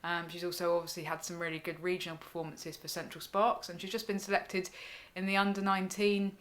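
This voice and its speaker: A woman, a bit croaky, co-hosting a casual podcast and chatting with frequent ums. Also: on the low side, level tone, fairly quick. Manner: chatty, many ums, laughs easily. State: hoarse. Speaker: podcast co-host. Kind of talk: casual cricket podcast chat.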